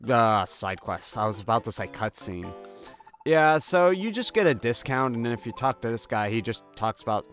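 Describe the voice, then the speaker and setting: A man talks through a video game in a casual, jokey way. His mid-pitched voice moves up and down in a lively manner, like someone chatting to viewers, with music playing behind him. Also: moderate pace. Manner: jokey, conversational, slips into mock dialogue. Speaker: gaming video host. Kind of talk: casual game walkthrough commentary.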